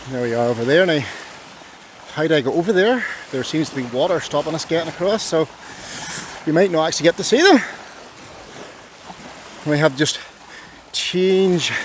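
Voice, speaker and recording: A man's voice: fairly high pitch, a little hiss or hum. A man vlogging outdoors on a beach, chatty and self-mocking, grumbling a little when plans fail. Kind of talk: casual vlog narration. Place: outdoors on a beach and by roadside caves.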